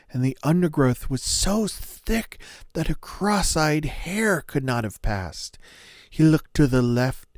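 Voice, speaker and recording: A man narrating a scripted fairy tale as a storyteller, in a measured, dramatic manner. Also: mid-range voice, darkish tone, no room sound, clean studio sound.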